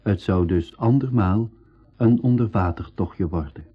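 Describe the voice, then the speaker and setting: Adventurer narrator, Flemish accent, husky mid-pitched voice, measured formal storytelling, dull lo-fi radio recording. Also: male voice. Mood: measured, serious. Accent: Belgian Dutch. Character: male adventurer narrating his voyage log. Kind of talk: scripted radio play narration.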